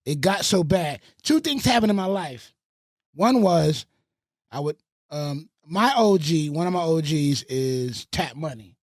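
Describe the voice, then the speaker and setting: A man from the Bronx and Fayetteville, a radio host and producer, telling animated stories on a podcast and swearing freely. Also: a little high, unhurried, no echo, clean studio sound. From Bronx, New York and Fayetteville, North Carolina. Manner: animated storyteller with a lot of swearing. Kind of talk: casual podcast storytelling.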